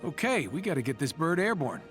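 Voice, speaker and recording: An actor playing a cocky, wisecracking superhero inventor in a video game, with an American accent and a glib, casual delivery. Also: male voice, a little high, big pitch swings, a little fast, fairly bright tone, faint music behind. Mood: brisk, playful.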